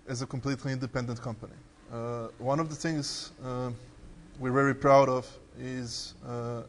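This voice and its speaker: A man with a Serbian accent introducing his company on a panel, speaking fluently but with frequent "uh" fillers and restarts, recorded in a conference hall. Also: mid-range voice. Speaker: tech company CEO on a panel. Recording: hall reverb, faint background noise.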